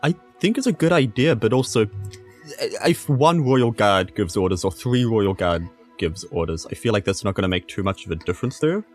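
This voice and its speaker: A man's voice: fairly low pitch, lively intonation, normal speed, faint music behind. A man chatting casually as a player in an improvised tabletop role-playing game. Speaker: player in a tabletop RPG podcast.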